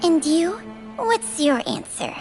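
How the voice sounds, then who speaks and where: Female snake spirit, high and swooping pitch, unhurried, weary, wry, video game dialogue. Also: sing-song, exaggerated voice. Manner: slow, measured, world-weary. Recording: music behind the voice.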